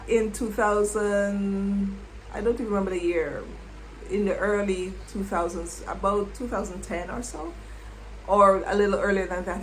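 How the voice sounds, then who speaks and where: A middle-aged woman, a former English teacher, reflecting on her past in an unhurried way and repeating words as she thinks. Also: mid-range voice, a little hiss or hum, poor audio. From Jamaica. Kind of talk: reflective personal storytelling.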